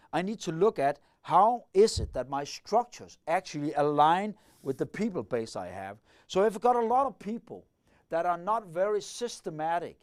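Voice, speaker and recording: A middle-aged man lecturing on leadership, methodically working through the ideas and asking himself questions as he goes. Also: on the high side. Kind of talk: explanatory lecture.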